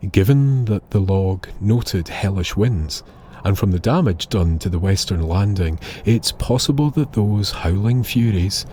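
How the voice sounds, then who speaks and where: A man narrating an eerie scripted tale in a solemn, measured, dramatic way with pauses. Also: low-pitched, dark tone, slight background noise. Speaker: storyteller, narrator. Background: music.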